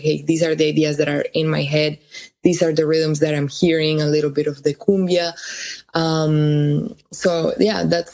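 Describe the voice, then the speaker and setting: A woman's voice: deep voice, a little mellow. A woman from Colombia, a cellist and singer, answering interview questions in a thoughtful way with many fillers and restarts. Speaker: musician guest.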